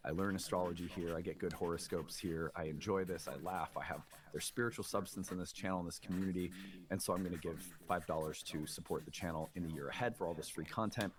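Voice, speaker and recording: A man's voice: low voice, fairly even intonation, quick pace. A man hosting a conversational astrology show, explaining things in an earnest way. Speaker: show host.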